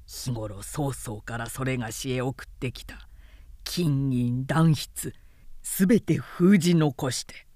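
A man's voice: medium pitch, takes it slowly, dark tone, dry recording, clean studio sound, pitched below the performer's own voice, natural voice. A woman voicing a stern, loyal male general in a historical novel reading, with grave, archaic warrior speech. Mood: grave, commanding.

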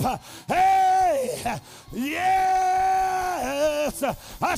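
A man preaching a fervent charismatic sermon, declaiming in repetitive rhythmic phrases with a strained, gravelly delivery. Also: very high voice.

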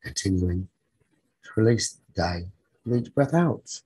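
A man leading a guided sleep meditation as a teacher, soothing and husky, speaking in short phrases with long pauses. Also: lowish voice, lively intonation, warm tone, poor audio.

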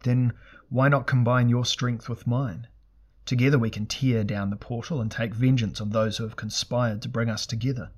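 A man's voice: mid-range voice, steady tone, moderate pace, dull audio, close to an everyday voice. A man voicing a proud, wry warrior hero in a fantasy story, with bold, formal declamation. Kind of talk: dramatic fantasy audiobook character voice.

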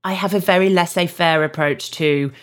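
A woman's voice: on the low side, steady tone, moderate pace. A woman co-hosting a podcast, chatting frankly and mocking herself with easy humor. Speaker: podcast co-host. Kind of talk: casual chat.

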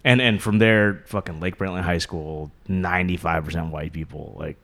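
Animated, cussing man in casual chat. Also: sits low, moderate pace. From United States. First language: Vietnamese. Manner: animated, laughing, swears freely.

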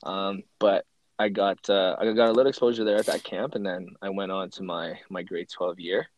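A young man from Canada, a former university football player, telling his story as an interview guest in a relaxed way, with frequent ums. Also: low-pitched, moderate pace, lo-fi sound, slight background noise.

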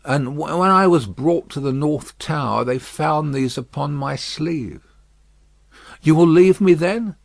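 A man voicing a frail, haunted old male prisoner who speaks in halting, wondering, broken phrases. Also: a little high, gravelly, dry recording. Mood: remembering.

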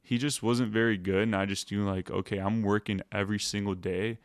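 Flat-voiced, smooth young man, telling a story. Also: lowish voice, a little fast, dry recording, clean studio sound. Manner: laid-back, heavy use of "like" fillers. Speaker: guest. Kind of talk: casual storytelling.

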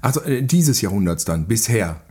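A man's voice: on the low side, sing-song, moderate pace, darkish tone, breathy edge. A man chatting casually as a podcast guest, telling rambling anecdotes with little tag questions. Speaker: guest, film director and cameraman.

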